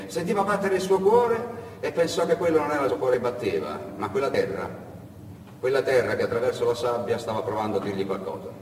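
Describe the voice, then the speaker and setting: A man narrating a story as a stage actor, dramatic and emphatic, with repetitions and pauses. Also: mid-range voice. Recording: echoey hall from far off, faint noise.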